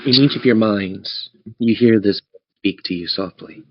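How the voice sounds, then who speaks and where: A man running a tabletop game as game master, narrating in a theatrical, measured storytelling manner. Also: lowish voice. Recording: dull lo-fi audio.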